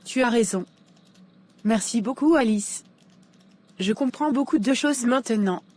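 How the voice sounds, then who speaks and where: A woman plays a young single character in an animated drama. Her voice sits a little high, and she speaks politely and hesitantly, confiding like someone who needs guidance. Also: measured pace. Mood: grateful.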